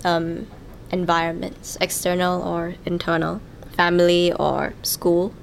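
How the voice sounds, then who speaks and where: A young woman in a student interview, speaking slowly and haltingly with many "um" pauses. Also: fairly low pitch, flat delivery, vocal fry, slight background noise. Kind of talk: casual interview answers.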